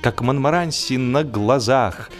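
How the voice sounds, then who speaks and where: A man narrating a humorous literary story for an audiobook in an expressive, measured, theatrical way. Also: mid-range voice, soft background music.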